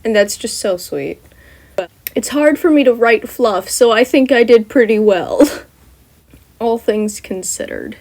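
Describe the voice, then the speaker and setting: A woman's voice: on the high side, a little slow, pauses often, a little mellow. Upbeat woman reading her own fan fiction. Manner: chatty and upbeat between chapters. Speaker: fan fiction author and reader. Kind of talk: storybook reading with voices.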